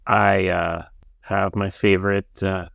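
A man co-hosting a podcast in casual chat, talking in a halting way with frequent uhs, recorded close and dry. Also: sits low, little pitch movement, creaky, fairly bright tone, no echo. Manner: halting, restarts with ums and uhs. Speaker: podcast co-host.

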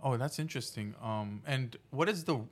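Young male host, halting curious questions. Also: medium pitch, animated. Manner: hesitant, lots of fillers and restarts. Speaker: podcast host. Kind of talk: casual interview.

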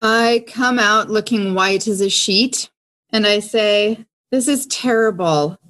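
A woman's voice: mid-range voice, slow, a bit bright. A woman speaking in character as a player in a tabletop roleplaying game. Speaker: guest player in an audio drama game.

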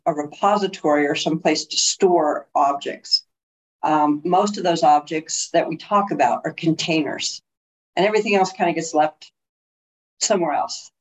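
A woman's voice: low voice, a little mellow. A woman co-hosting a podcast, giving a casual update with many fillers and hesitations. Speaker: podcast co-host. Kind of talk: casual chat, project update. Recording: dull, muffled call audio.

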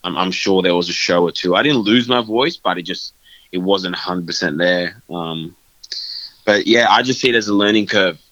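A man's voice: low voice, moderate pace, a bit bright, no echo, muffled recording. A young man talking casually in an interview, loose and rambling with lots of fillers. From Australia. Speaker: guest band vocalist.

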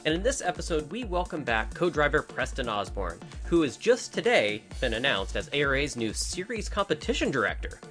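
A man's voice: a little high, big pitch swings, a little fast, few pauses, a bit bright, faint music behind. A man hosting a rally podcast, chatting in an upbeat, easygoing way and laughing easily. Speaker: podcast host. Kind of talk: casual podcast chat.

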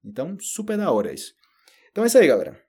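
A Brazilian man with a Brazilian Portuguese accent, giving a relaxed, chatty podcast lesson and addressing learners directly. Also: a little high, rapid-fire, husky. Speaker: Portuguese teacher. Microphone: solo audio recording.

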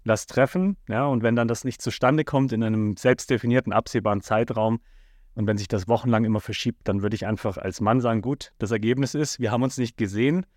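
A man talking calmly and at length on a casual podcast, giving advice. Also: mid-range voice, no room sound, studio quality. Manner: calm, measured, explains at length with fillers. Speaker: podcast co-host.

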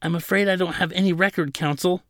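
A man's voice: medium pitch, lively intonation, normal speed, gravelly, no echo, studio quality. A man voicing a slight, timid male desk attendant in an audiobook, with a nervous, hesitant, apologetic way of talking. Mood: apologetic, frazzled.

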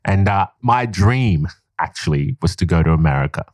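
Deep-voiced man chatting casually. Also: expressive, moderate pace, dry recording, studio quality. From Liberia, raised in Guinea. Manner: rambling, many fillers, laughs while telling stories. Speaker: podcast guest. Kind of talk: casual podcast conversation.

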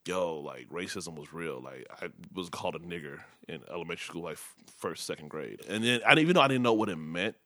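A young man with a low, deep voice talks fast in a relaxed interview, his first language Yoruba. He explains things in quick, fluent runs, padded with "like" and "you know". The sound is close and dry. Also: no room sound. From United States. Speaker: guest. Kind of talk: conversational interview.

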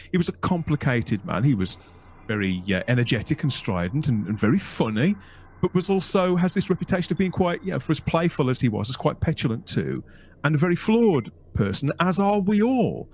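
A male podcast host with a lively, swooping pitch. He steers the interview with leading questions and tags like "isn't it?", in a conversational way. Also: brisk. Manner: animated, leading questions with tag questions.

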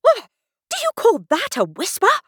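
A woman voicing an excitable, fussy king from a storybook. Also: mid-range voice, a little slow, dry recording, clean studio sound. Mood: indignant.

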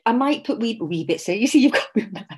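A woman's voice: fairly high pitch, lively intonation, fairly quick, breathy edge. A middle-aged English woman author with traces of a Scottish accent, chatting casually in an interview, laughing often and restarting her sentences. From England.

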